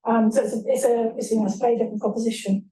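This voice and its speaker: A woman's voice: fairly high pitch, flat delivery, very fast, mellow. A woman speaking on a panel as a tech founder, businesslike, with frequent ums and restarts. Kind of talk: panel talk. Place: event hall. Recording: echoey room, distant mic.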